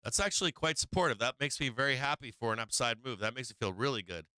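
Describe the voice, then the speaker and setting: A man with a very bright, smooth voice, recorded close and dry with no room sound. He walks viewers through trading charts in a loose, rambling way, full of "right?" and fillers. His voice is a little hoarse. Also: medium pitch, animated, quick pace, no echo. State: losing his voice. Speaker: stock market live stream host. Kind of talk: casual live chart commentary.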